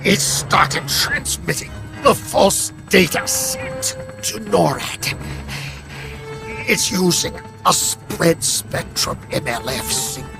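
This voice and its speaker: An actor playing a zealous, calmly menacing villain in a video game, speaking in a theatrical, pontificating manner. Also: male voice, sits high, slow, creaky, music in the background, full character voice. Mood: cold, explanatory. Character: zealous, calmly menacing male villain with nuclear deterrence theory. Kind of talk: dramatic video game voice acting.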